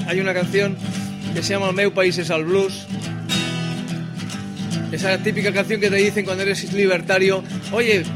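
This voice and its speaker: A singer-songwriter man, chatting between songs at a live concert, joking and swearing freely. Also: high-pitched. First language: Spanish. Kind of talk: live concert with stage banter. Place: live venue with audience. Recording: live stage sound with guitar. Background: acoustic guitar.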